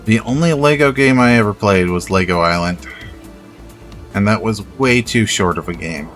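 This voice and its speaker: A man's voice: lowish voice, normal speed, a bit bright, faint music behind. A man in casual livestream chat, laid-back and matter-of-fact, free with swear words.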